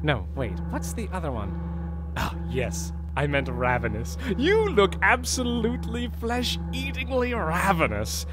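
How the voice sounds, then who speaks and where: A gleefully mad scientist for radio drama. His delivery is pompous, sardonic and highly animated, leaping around in pitch, with music clearly playing behind him. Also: measured pace. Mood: awkwardly courtly. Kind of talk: theatrical audio drama acting.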